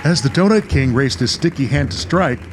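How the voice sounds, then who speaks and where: A man's voice: medium pitch, expressive, normal speed, over music, a crowd in the background. A man narrating a scripted comedy radio play, reading scene headings and story as narrator.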